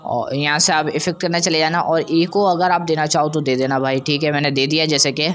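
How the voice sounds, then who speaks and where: Casual man giving an informal software tutorial. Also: fairly high pitch, level tone, fairly quick. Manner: casual, conversational, repeats check-in questions. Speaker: audio editing tutorial presenter.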